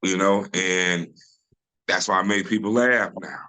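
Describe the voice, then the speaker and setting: A middle-aged comedian with a deep, low-set voice chats over a video call. His tone is forceful and bright, and he talks in a loose, storytelling way. He often pauses with "you know" and restarts his thoughts. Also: fairly even intonation, poor audio. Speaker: comedian guest. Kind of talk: casual interview chat.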